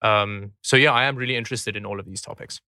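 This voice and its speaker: A man's voice: on the low side, rapid-fire, pushed and bright, clear, resonant tone, no echo, clean studio sound. A man whose first language is Afrikaans, a guest on a casual podcast, who laughs easily and repeats words when starting sentences.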